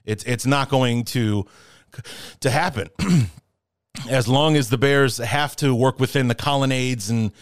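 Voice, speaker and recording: A man's voice: mid-range voice, unhurried, no room sound, clean studio sound. A man hosting a sports podcast, blunt and opinionated, swearing freely as he talks. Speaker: podcast host. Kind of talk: casual sports podcast talk.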